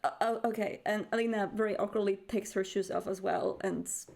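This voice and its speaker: A woman's voice: medium pitch, fairly even intonation, fairly quick, creaky, darkish tone. A woman roleplaying casually as a player in a tabletop game, hesitant and playful in how she talks. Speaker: tabletop role-playing player. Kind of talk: casual in-character roleplay chat.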